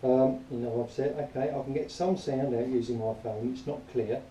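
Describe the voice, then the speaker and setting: A man giving an informal spoken review in a rambling, matter-of-fact, grumbling way, partly reading aloud, recorded quietly on a camera some distance away. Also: mid-range voice, fairly even intonation, moderate pace. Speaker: product reviewer. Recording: distant quiet mic, roomy echo, faint noise.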